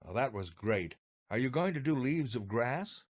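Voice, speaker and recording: A man's voice: medium pitch, lively intonation, normal speed, music in the background. An American man co-hosting, giving scripted introductions and friendly banter. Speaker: podcast co-host.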